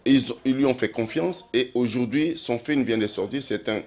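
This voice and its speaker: A man's voice: mid-range voice, moderate pace, a little mellow. A man hosting a TV interview show, asking long questions full of hesitations and switching into Lingala now and then. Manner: lots of "euh" hesitations, mixes in Lingala. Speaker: TV show host.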